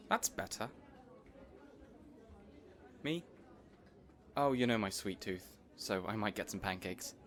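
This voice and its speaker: Casting: male actor as affable evil mastermind boss for audio roleplay, mid-pitched, chatty, earnest, playfully bossy. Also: slight background noise. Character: affable, eager supervillain boss fond of his assistant. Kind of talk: audio roleplay acting addressed to listener.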